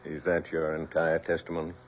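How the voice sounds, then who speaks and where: An actor playing a stern courtroom examiner in a radio drama, formal, deliberate and authoritative. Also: male voice, a bass voice, completely flat delivery. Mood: formal, probing. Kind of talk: old radio drama acting. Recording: dull radio drama recording with hum.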